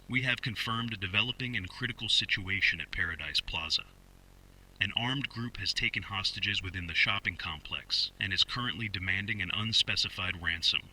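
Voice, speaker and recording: A man playing a stern police spokesman reading a scripted emergency broadcast in a formal, measured, official manner with a husky voice. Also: on the low side, sharp tone, heavily acted, low-quality recording. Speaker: public emergency announcer.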